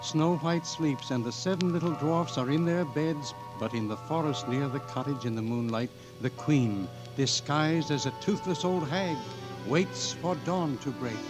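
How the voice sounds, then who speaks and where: A man narrating a fairy tale in a solemn, measured, dramatic 1940s radio style. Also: fairly high pitch, natural voice, low-quality recording. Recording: old 1940s radio recording with music.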